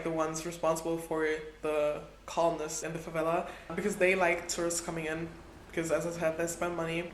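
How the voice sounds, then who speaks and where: Young male travel vlogger, high and fairly flat delivery, echoey room, faint background hum. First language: Portuguese is his second language. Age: 20s. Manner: chatty, heavy use of "like". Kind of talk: casual travel vlog.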